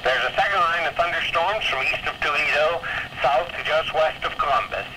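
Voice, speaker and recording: A man's voice: a little high, brisk. An American man reading scripted weather observations as an announcer on an old radio broadcast. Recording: very noisy 1979 weather radio recording.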